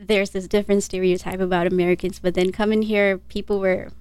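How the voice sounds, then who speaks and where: A polite, soft-spoken woman in her twenties from Nepal, an Air Force radiology tech answering interview questions. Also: medium pitch, level tone, a little fast, clear, resonant tone. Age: 20s.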